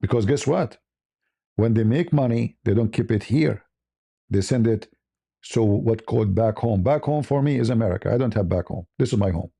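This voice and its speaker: A man's voice: on the low side, moderate pace, vocal fry, mellow, breathy edge. A man with an Arabic accent giving a blunt, off-the-cuff opinion monologue as a livestream host, repeating phrases for emphasis.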